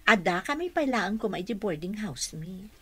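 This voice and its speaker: A woman's voice: mid-range voice, sing-song, normal speed, bright, forceful tone, breathy edge, no room sound, heavily acted. A woman with an Ilocano accent playing a friendly, chatty young woman in a radio comedy-drama. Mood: confiding.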